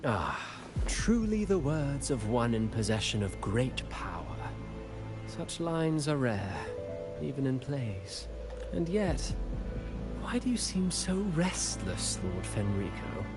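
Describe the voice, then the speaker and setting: Sly theatrical game villain. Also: male voice, mid-range voice, sing-song, takes it slowly. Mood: sly, needling. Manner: florid, formal, needling. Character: sly, theatrical male schemer, mockingly courteous. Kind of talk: theatrical video game acting. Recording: music behind the voice. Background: game music.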